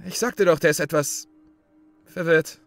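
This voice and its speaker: A man's voice: on the high side, a little slow, no echo, soft background music. Man acting a sober, pragmatic survivalist. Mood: matter-of-fact. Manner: matter-of-fact. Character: sober, pragmatic male survival expert contestant. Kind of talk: German audio horror drama acting.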